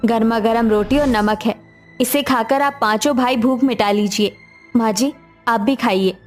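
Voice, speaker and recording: A woman's voice: fairly high pitch, moderate pace, lightly acted. A woman voicing a poor, devoted, hopeful young daughter-in-law in a cartoon family story. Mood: tender, dutiful. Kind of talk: cartoon dialogue acting. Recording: music behind the voice.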